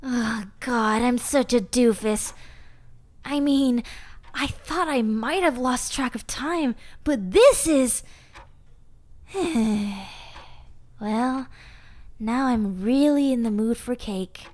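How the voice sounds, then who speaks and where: A woman's voice: fairly high pitch, takes it slowly, pauses often, darkish tone. A woman voicing a sweet, eager, scatterbrained monster girl in a roleplay audio drama, chatting and rambling bashfully to the listener. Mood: dismayed, sheepish.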